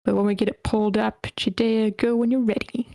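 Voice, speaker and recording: A woman's voice: on the high side, flat delivery, a little fast, vocal fry, dark tone, no echo. A woman casually hosting an online table read and organizing the readers. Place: online meeting.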